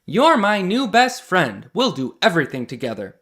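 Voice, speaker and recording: A friendly, playful cat from a picture book, voiced by a man. It talks eagerly and childlike, fairly high and very animated. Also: normal speed, heavily acted. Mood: delighted.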